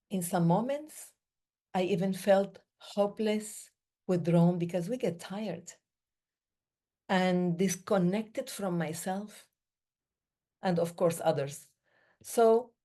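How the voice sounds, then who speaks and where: Woman speaker from the Middle East; slow, mellow, lowish voice, measured phrasing. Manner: slow, measured, with pauses between short phrases. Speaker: breathing day speaker. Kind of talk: reflective talk.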